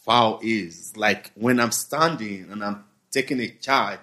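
A man in casual podcast chat, speaking in a relaxed, hesitant way with frequent fillers. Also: on the low side, takes it slowly.

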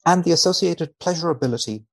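A man speaking as a researcher guest on a podcast, dry and mock-serious in a measured delivery. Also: a little high, gravelly, slightly rough. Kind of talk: podcast chat and reading aloud from a study.

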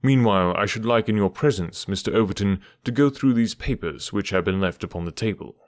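A man's voice: on the low side, a little fast, no room sound, lightly acted. A man voicing a cool, precise male detective with a dry, ironic manner in an audiobook. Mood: cool, measured. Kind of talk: audiobook character voice.

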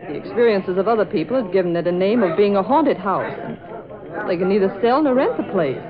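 An actress playing a brisk, insistent female reporter, firm and matter-of-fact, in 1940s radio style. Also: mid-range voice, expressive, close to an everyday voice. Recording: dull old radio recording, chatter behind.

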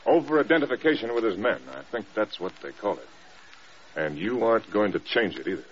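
An actor playing a frank, level-headed American air force staff officer in a radio drama, plain-spoken. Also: male voice, fairly low pitch, animated, brisk, slightly rough. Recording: dull, noisy old radio recording.

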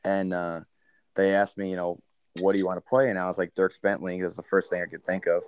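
A young man, a country singer, telling a story in a relaxed interview with many fillers; he has a low baritone voice. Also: fast talker, clean tone, no echo.

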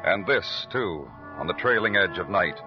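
A man playing a world-weary, brooding police detective in a hard-boiled vintage radio drama, with a measured, moody delivery. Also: sits low, expressive. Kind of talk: 1940s-50s hard-boiled radio narration. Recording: muffled old radio recording, music behind.

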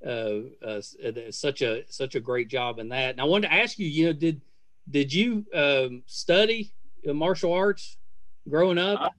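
A man's voice: on the high side, lively intonation, measured pace, a bit bright, no echo. An American man in his fifties hosting a casual interview, chatty and rambling, with hesitant phrasing. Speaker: podcast host.

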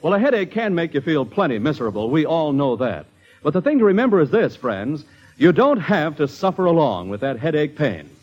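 A man's voice: on the high side, sing-song, fairly quick, darkish tone, muffled recording. A man reading a 1940s radio advertisement in a polished, persuasive way, on an old broadcast recording. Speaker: radio commercial announcer.

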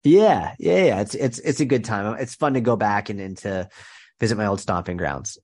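A young man talks as a podcast guest. His voice is nasal and stuffy from a head cold, and it sits a little low. He speaks quickly and chattily, often restarting his sentences. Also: animated, a little mellow. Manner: fast, chatty, with many restarts. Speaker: podcast guest, strength and nutrition coach. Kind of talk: casual interview chat.

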